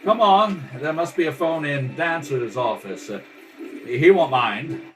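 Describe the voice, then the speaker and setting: A breezy gentleman detective voiced by a man for an old-style radio play. He is jaunty and teasing, with a bright, raised, lively voice. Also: on the high side, measured pace, distant mic, slight background noise, pitched above the performer's own voice. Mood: cheerful. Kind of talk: old-time radio drama acting. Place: indoors at a kitchen table.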